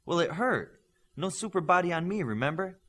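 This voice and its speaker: A cheeky teenage boy training as a superhero, played by a male narrator, in an audiobook tale. He wisecracks with dry humour, his voice lifted higher and moving animatedly. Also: very animated, moderate pace, fairly bright tone. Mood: indignant. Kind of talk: audiobook character voice.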